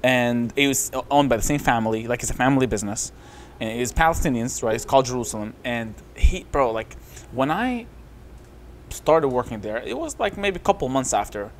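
A man and restaurant co-owner, originally from Saudi Arabia, telling stories on a podcast in a loose, rambling way and saying "bro" often, recorded on a boom mic with headphones in a lounge-style studio. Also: expressive, fairly quick, a little hiss or hum. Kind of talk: casual podcast chat. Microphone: headset and boom mic.

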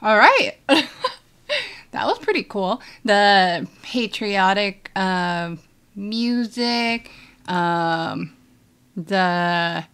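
A young woman reacts to a game video from her small bedroom setup. She speaks slowly, pausing often with fillers. She sounds relaxed and unhurried, recorded through an earphone mic. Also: mid-range voice, smooth. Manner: relaxed, hesitant, many fillers. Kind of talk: casual reaction chat.

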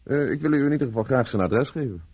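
An actor from the Netherlands playing a wry, flirtatious gentleman bachelor in a radio drama. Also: mid-range voice, expressive, fast, slightly rough. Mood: puzzled, wry. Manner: wry, courteous, mock-grumbling. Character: suave, wry, flirtatious bachelor, friend of a gambler.

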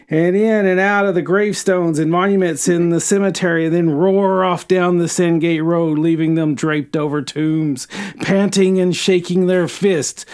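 A man's voice: high-pitched, clean tone. A man co-hosting a podcast, retelling a book and reading from it aloud. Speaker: podcast co-host.

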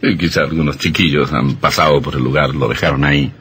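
An actor playing an easygoing, reassuring newlywed husband in an old radio drama. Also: male voice, rumbling low, steady tone, brisk, fairly bright tone. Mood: tender, contented. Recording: old radio recording with faint hiss.

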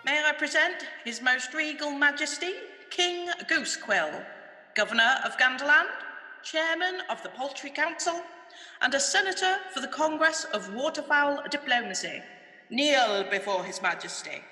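A woman's voice: high voice, lively intonation, normal speed, pushed and bright. A woman playing a haughty, officious goose servant in a radio pantomime, announcing formally in an echoey hall. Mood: pompous. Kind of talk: amateur radio pantomime acting.

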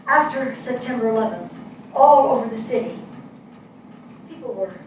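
A woman in her sixties playing an earnest, shaken New Yorker in a deliberate, searching dramatic monologue read on stage. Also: fairly high pitch, a little mellow, close to an everyday voice. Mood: reflective, somber. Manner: deliberate, searching, with rhetorical questions and dramatic pauses. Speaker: stage actor in a dramatic reading. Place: small theatre stage before an audience. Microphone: far camera. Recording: echoey theatre, distant mic, noisy.

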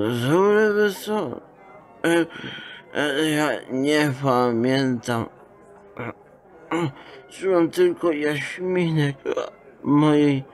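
Man playing a very slow, stammering drunk nobleman. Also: a little high. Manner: stammering, slurred drunken mumbling with groans. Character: drunk wealthy nobleman, muddled, suspicious, pining for his lady. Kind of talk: audio drama acting.

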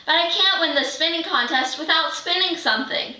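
Teenage girl as proud witch, readers' theater, dramatic chanting, bright young voice, echoey room. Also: extremely high pitch, a little fast, heavily acted. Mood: excited, proud. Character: excitable female witch chanting spells, proud and eager. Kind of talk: readers' theater script reading. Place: living room, far camera microphone. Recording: roomy, distant microphone.

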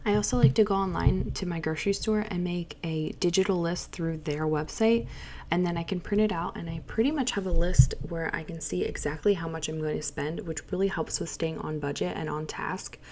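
A woman's voice: lowish voice, steady tone, a little fast, a little mellow, slight background noise. A young woman chatting casually in a voice-over, walking through items in her home kitchen and listing them with fillers. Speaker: lifestyle vlogger.

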